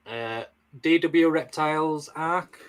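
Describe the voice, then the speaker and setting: A man's voice: on the high side, slow pace, clean tone. A man co-hosting off to the side, reading viewer names from the chat.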